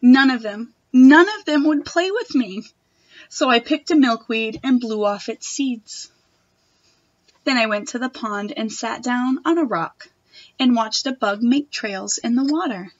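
A young woman reading a picture book aloud to children in a gentle, expressive manner. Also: fairly high pitch, unhurried, dry recording, lo-fi sound. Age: young adult. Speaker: storytime reader. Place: wood-panelled room at home.

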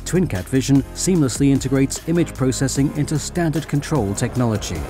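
A man's voice: medium pitch, a little fast, music in the background. A man giving a polished, scripted voice-over narration for a trade show report. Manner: even, polished promotional delivery. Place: studio voice-over.

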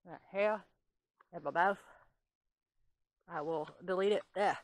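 A woman's voice: on the low side, takes it slowly, no echo. A middle-aged woman talking casually as a paranormal investigator, recorded outdoors in the woods on a handheld camera. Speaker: paranormal investigator and vlog host. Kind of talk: casual vlog talk, questions put to spirits. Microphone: handheld camera at arm's length. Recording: noisy outdoor handheld camera audio, clicks.

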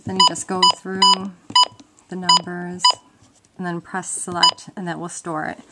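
A woman's voice: lowish voice, level tone, measured pace. A woman giving an informal, step-by-step explanation in a casual demonstration. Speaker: instructor. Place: indoors at a wooden table at home. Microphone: handheld camera microphone. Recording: noisy handheld home-video audio with clicks.

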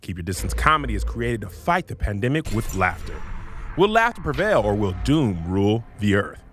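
Dramatic man reading trailer voice-over, very animated. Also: on the low side, normal speed, a little hiss or hum. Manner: dramatic announcer delivery. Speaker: comedian contestant. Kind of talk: read movie-trailer voice-over. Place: podcast studio. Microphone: studio microphone with headset.

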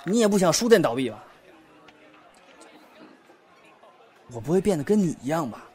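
A man's voice: fairly high pitch, very animated, very fast, a little mellow. A young man acting in an audio drama as an anxious, sleepless bookshop owner who hesitates and rambles as he confides in a friend. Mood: agitated. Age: young adult.

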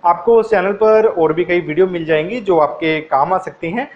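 A young man presenting a tutorial to camera in a small indoor room, speaking hesitantly, with drawn-out pauses. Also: high-pitched. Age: young adult. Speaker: tech channel host. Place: small indoor office or shop. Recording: dull, lo-fi camera sound.

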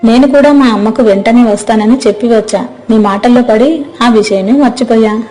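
A woman's voice: on the high side, normal speed, dark tone, soft background music, lightly acted. A woman playing a friendly, earnest young mermaid who admires her friend in an acted moral story. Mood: shy, chatty.

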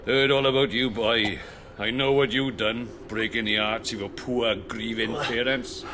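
A man's voice: mid-range voice, steady tone, unhurried, natural voice. An actor playing an indignant, self-righteous British male lodger in a radio drama. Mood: disgusted, hostile. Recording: radio drama with noisy background.

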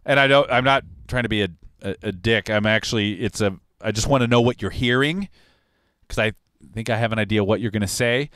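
A man in his forties, a recording engineer and host, talking in a relaxed, rambling, technical way in a studio control room on a broadcast mic. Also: lowish voice, a little fast, dry recording. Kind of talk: casual livestream talk on recording. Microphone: large broadcast mic on arm, headphones on.

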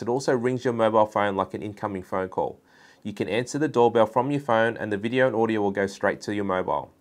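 Low-pitched man presenting tech products. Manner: informal, instructional. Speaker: presenter for a networking and security business. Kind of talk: scripted product explainer to camera. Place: indoor studio room at a desk.

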